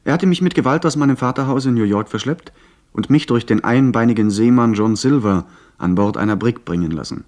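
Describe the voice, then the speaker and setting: A man playing a young gentleman who narrates his own sea adventure in a scripted radio drama, composed and literary. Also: mid-range voice, fairly quick, lightly acted.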